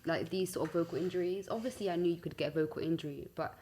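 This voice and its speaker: Young London woman, low-pitched casual talker. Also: rapid-fire, slight background noise. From West London, UK. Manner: informal slangy, giggly, animated storytelling. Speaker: singer-songwriter interview guest. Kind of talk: casual chat.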